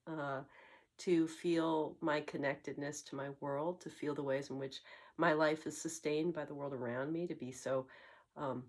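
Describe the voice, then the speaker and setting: A woman hosting a tarot channel, getting over a cold and slightly congested, speaking in a reflective, musing way with frequent pauses and fillers. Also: low-pitched, fairly even intonation. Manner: musing, searching for words, many fillers. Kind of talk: reflective spoken monologue.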